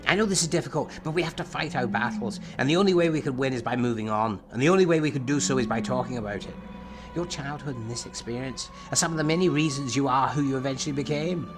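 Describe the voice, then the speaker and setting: Man playing a measured, probing computerised therapist. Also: medium pitch, lightly acted. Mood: patient, coaxing. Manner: measured, formal, clinical. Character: probing, patient male computerised therapist consulting databanks. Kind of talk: scripted audio drama dialogue. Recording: clear music behind the voice. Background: music.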